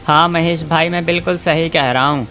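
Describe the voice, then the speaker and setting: A man's voice: fairly high pitch, steady tone, normal speed, sharp tone, soft background music. A man voicing a helpful, earnest villager in a cartoon story. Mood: earnest, informing. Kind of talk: Hindi cartoon story voice acting.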